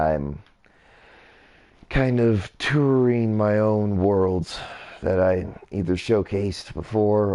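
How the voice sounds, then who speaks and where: Low, mellow young gaming streamer. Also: male voice. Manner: rambling, easily impressed, mild swearing. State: slightly raspy voice. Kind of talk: casual game commentary while touring builds.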